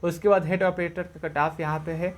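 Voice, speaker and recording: A man's voice: on the high side, level tone, brisk. A man presenting exam updates as an informal explainer, going over points repeatedly in a reassuring way.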